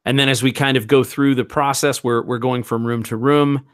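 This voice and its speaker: A man playing a roleplaying game, improvising his story in a halting, deliberate way as he thinks aloud. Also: medium pitch, little pitch movement, no echo, studio quality.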